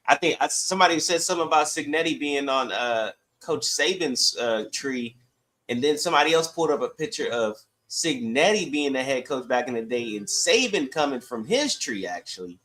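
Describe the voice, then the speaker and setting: Medium-pitched man chatting about sports. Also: expressive, normal speed, pushed and bright. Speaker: sports talk podcast co-host. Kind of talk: casual sports chat.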